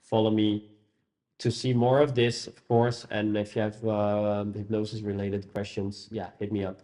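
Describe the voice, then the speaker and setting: Casting: Dutch-native male host, lowish voice, halting with lots of "uh" and restarts. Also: flat delivery, dark tone. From Netherlands. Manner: hesitant, many fillers and restarts. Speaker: hypnotherapist and podcast host. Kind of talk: casual interview chat.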